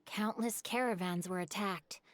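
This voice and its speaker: A woman voicing a bookish, earnest young boy scholar in a video game, articulate and thoughtful, with soft music behind. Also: medium pitch, unhurried, lightly acted. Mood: thoughtful, intrigued.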